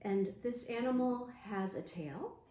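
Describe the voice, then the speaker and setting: A woman hosting an interactive storytime as a children's librarian, talking in an animated, sing-song way to small children. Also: on the high side, unhurried, roomy sound, rough recording.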